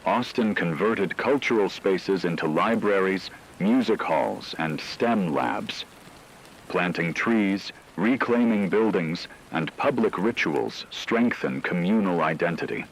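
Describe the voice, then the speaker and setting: A man reading scripted radio news as an anchor, with a formal, measured delivery. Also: low voice, animated, slight background noise, low-quality recording.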